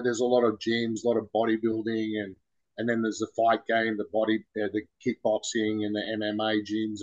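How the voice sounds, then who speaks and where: Australian guy, relaxed rambling guest, slangy and informal, slightly dull lo-fi recording. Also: medium pitch. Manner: rambling, loose and informal, lots of "man" and "bro". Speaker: podcast guest. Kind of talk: casual interview talk.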